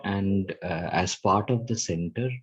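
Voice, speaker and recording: A man's voice: deep voice, unhurried, husky. A man, a university teacher, introducing himself on a video call with many hesitations. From India. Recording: muffled video call audio.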